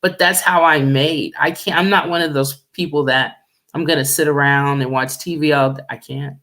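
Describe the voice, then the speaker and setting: A middle-aged woman singer with a deep, low voice, chatting easily as a radio guest and laughing often. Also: steady tone, normal speed, a bit bright. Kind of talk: casual radio interview chat.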